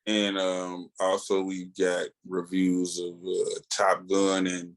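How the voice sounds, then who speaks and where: A middle-aged man with a deep voice co-hosts a podcast. He chats casually and loosely, in a halting, slangy, laid-back manner. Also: monotone. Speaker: podcast co-host and reviewer. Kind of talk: casual chat.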